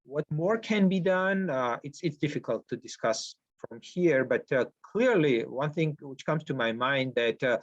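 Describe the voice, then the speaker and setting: A man's voice: fairly high pitch, expressive, dry recording. Male panelist, hesitant and searching for words, dry lo-fi audio. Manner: halting, searching for words, many fillers. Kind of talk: panel discussion answer. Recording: dry, dull lo-fi sound.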